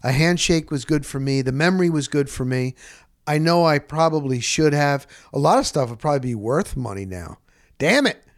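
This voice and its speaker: A middle-aged man telling a personal story casually as a broadcast host, acting out the conversations he recounts in an animated way. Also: fairly high pitch, normal speed, no echo, clean studio sound.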